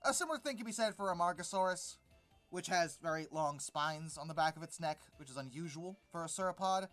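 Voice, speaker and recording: Fed up commentator picking stuff apart, sarcastic n casual, mid pitch, bit of music behind. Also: animated, a little fast, a bit bright. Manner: exasperated, sarcastic, dismissive. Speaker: host of a paleontology commentary channel. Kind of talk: informal article-critique commentary.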